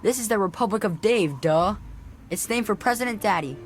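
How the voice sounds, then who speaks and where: Casting: actress as bossy, sassy game kid, matter-of-fact delivery, medium pitch for a female voice. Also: lively intonation, fairly quick, close to an everyday voice. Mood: sassy, guarded. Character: bossy young child, loyal to president father. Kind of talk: video game character acting.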